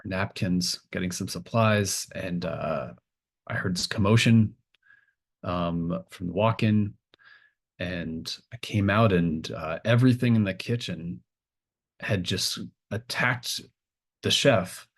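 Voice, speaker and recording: A man's voice: deep voice, slow pace, gravelly, full character voice. A man playing a stammering, apologetic small-town diner cook in an improvised tabletop roleplay. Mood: shaken, hesitant. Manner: stammering, hesitant, rambling.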